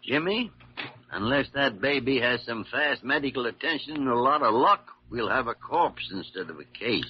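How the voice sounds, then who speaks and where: An actor playing an irascible old senior doctor, gruff and sardonic, in a 1940s radio drama. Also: male voice, medium pitch, animated, moderate pace, natural voice. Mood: grave. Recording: dull, noisy vintage radio recording.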